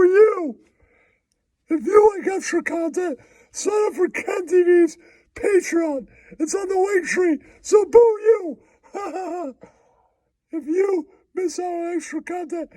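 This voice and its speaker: A man voicing a giggly, foul-mouthed cartoon mouse puppet in squeaky falsetto, teasing and pitching in a comic puppet show. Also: dark tone, dry recording, full character voice. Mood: taunting, giggly. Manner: giggly, repeats taunting catchphrases.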